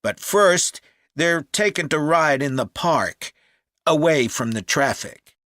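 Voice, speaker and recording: A helpful camp manager answering an enquiry. His voice is bright and animated, and he takes his time as he explains things. It sounds scripted and informative, recorded very dry. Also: on the high side, no echo. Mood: helpful, upbeat. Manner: informative, measured, upbeat. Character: helpful, upbeat male cycle camp manager taking a call. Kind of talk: acted phone enquiry for a listening test.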